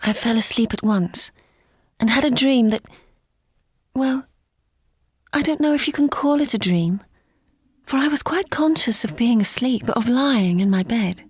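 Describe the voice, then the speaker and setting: An actress playing a gentle, impressionable well-bred young lady in a gothic audio drama, polite and earnest. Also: a little high, lively intonation, unhurried, pauses often, breathy edge, no room sound, studio quality. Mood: hushed dread.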